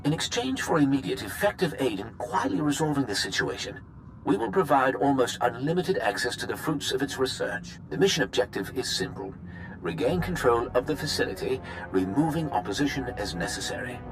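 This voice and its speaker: An actor playing a smug, slippery male corporate executive who talks in a suave, sarcastic, drawn-out way. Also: medium pitch, very animated, slight background noise, close to an everyday voice, poor audio. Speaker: corporate representative. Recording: game cutscene with music behind.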